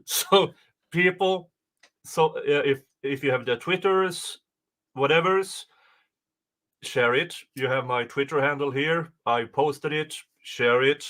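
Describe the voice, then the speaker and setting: A man hosting a livestream with casual chat, jokey and quick to laugh. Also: fairly high pitch, a bit bright. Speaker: livestream host.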